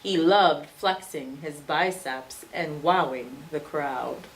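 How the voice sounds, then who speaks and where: A woman schoolteacher reads a children's picture book aloud expressively, with dramatic pauses and emphasis for young listeners. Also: low voice, low-quality recording. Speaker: second-grade teacher reading aloud.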